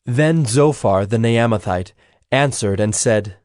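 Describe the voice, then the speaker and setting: A man's voice: medium pitch, unhurried, darkish tone, dry recording, studio quality. A man narrating scripture from a script, announcing chapters and speakers.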